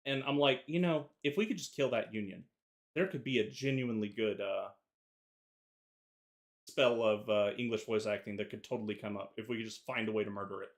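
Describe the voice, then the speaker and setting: A man's voice: lowish voice, brisk. Opinionated man from the South. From Southern United States. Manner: opinionated, rambling with fillers. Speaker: podcast co-host. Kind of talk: casual chat.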